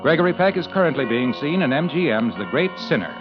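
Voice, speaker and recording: A male 1940s radio announcer reads scripted sponsor copy and credits. His fairly high voice is very animated, with faint music and the dull sound of an old recording. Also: fast.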